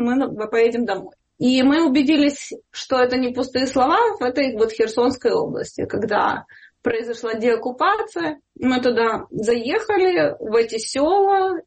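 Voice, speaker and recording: Female charity volunteer, fairly high husky voice, interviewed, tells anecdotes with quoted remarks. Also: a little mellow. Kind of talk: spoken interview answers with anecdotes.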